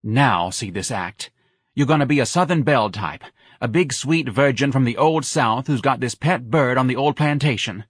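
A man's voice: medium pitch, lively intonation, moderate pace, husky, dry recording, studio quality, pitched above the performer's own voice. A man voicing a hard-nosed, scornful woman who runs a nightclub, snapping out crude orders in an audiobook. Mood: bossy. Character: hard-nosed, bossy, scornful female nightclub owner Lana.

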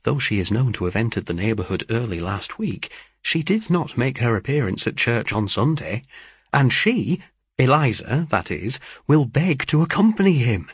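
Man voicing an animated, gossipy young sister. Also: mid-range voice, normal speed, husky, no echo, studio quality, full character voice. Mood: excited, gossipy. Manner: chattering, breathless with gossip, emphatic. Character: excitable, gossipy young sister bursting with news. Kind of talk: dramatised audiobook reading of a classic novel.

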